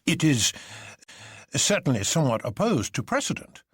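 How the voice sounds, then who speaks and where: A man's voice: a little high, big pitch swings, normal speed, fairly bright tone, husky, dry recording, clean studio sound, pitched above the performer's own voice, full character voice. A man voicing a stiff, pedantic colonel in an audiobook, formal and a little hesitant. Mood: puzzled, pedantic. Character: stiff, pedantic old-fashioned male colonel, wistfully proper. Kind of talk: dramatised audiobook character voice.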